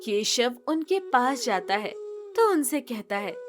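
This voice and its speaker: High-pitched, slow woman storyteller. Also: sing-song. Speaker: story narrator. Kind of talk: scripted children's moral story narration.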